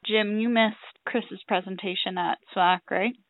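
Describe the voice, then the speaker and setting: A woman's voice: medium pitch, fairly even intonation, a little slow, no echo. Woman hosting on a tinny line. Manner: friendly and conversational, leading the talk. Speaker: podcast host. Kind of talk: casual interview hosting. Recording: dry, tinny phone-like sound.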